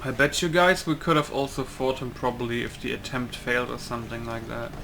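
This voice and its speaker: A man's voice: medium pitch, steady tone, fairly quick, vocal fry, a bit bright. A man giving casual let's play commentary as a gaming YouTuber. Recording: faint game noise behind.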